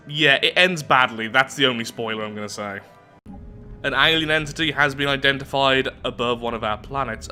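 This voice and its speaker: A man's voice: medium pitch, pushed and bright. A man hosting a strategy game playthrough, chatting and thinking aloud as he goes, with digressions and read-out text. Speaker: gaming video host. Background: music.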